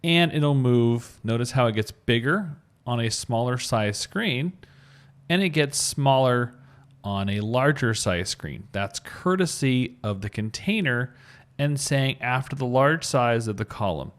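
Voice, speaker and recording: A man giving an informal screencast tutorial as an instructor, thinking aloud as he talks through each step. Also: mid-range voice. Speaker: web coding instructor.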